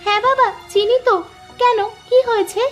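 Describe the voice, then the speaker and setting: A woman acting as a sweet, naive young village woman in a cartoon. Her girlish voice is very high and politely dutiful, with light music underneath. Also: fairly even intonation, moderate pace. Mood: obliging. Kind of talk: cartoon story dialogue.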